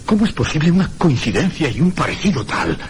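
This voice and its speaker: An actor with a Castilian Spanish accent playing a troubled traveller who narrates an eerie radio drama in a measured, literary way. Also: male voice, fairly high pitch, very animated, noisy background. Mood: disturbed, awed. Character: troubled, brooding male traveller recounting an eerie tale. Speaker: narrator and protagonist. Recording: radio drama with music behind. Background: prominent music.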